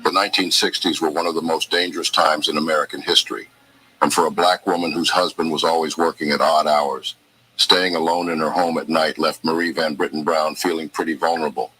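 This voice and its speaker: A man narrating a countdown of inventions in a steady documentary reading. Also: rumbling low, a little fast, slight background noise, low-quality recording. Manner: steady list-style reading.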